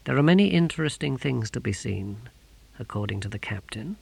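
A man playing a cold, pious inquisitor in an audiobook, speaking in a hushed, measured and menacingly courteous way. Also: mid-range voice, animated, creaky, slightly rough, no room sound, full character voice. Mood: icily courteous. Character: cold, sinister pious male inquisitor of high rank.

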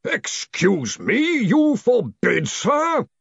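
Man as a booming, blustering old wizard chief. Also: very animated, fairly quick, sharp tone, breathy edge, no room sound, highs cut off, full character voice. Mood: furious. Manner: booming, commanding, pompous. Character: blustering, hot-tempered old male head of wizard college. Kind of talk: audiobook character voice.

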